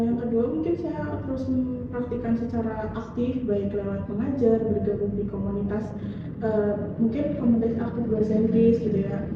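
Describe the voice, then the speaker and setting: A young woman student giving casual interview answers, with fillers and tag questions. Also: fairly high pitch. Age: young adult. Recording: distant echoey dull recording with noise.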